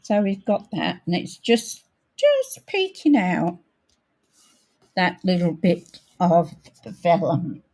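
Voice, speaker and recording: A woman with a croaky, husky voice talks through a casual craft tutorial. Her tone is mellow and on the low side, and she takes her time, thinking aloud as she works. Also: sing-song. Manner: unhurried, thinking aloud while working. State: croaky, coughing and throat-clearing. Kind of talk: casual live crafting demonstration.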